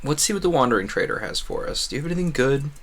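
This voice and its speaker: A man's voice: medium pitch, lively intonation, racing, fairly bright tone. A man doing casual video game stream commentary, rambling playfully and thinking aloud with a self-deprecating streak.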